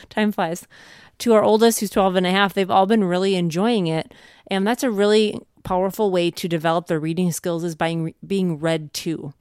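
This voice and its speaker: A middle-aged woman with a fairly low, smooth voice talks casually, as a parent sharing advice. She sounds earnest and encouraging, and the recording is close and dry. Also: moderate pace, a little mellow, no echo. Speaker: homeschooling parent giving advice. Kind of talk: casual conversational advice talk.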